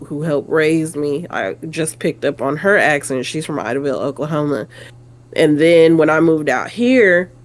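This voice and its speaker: A woman with a Southern accent, co-hosting a casual review chat, telling stories in an animated way and chiming in with quick agreement. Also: on the low side. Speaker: podcast co-host.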